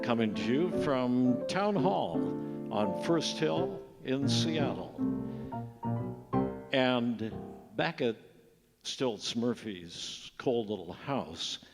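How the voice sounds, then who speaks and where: A male announcer narrates a scripted radio show at a slow, measured pace. His medium-pitched voice rings in a large hall, with loud guitar music behind it. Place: large town hall stage.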